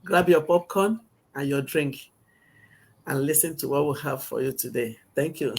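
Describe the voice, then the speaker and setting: A woman introduces herself in a brisk, upbeat way. She speaks as a team member on a casual business stream. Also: a bass voice, a little mellow, slight background noise. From Nigeria.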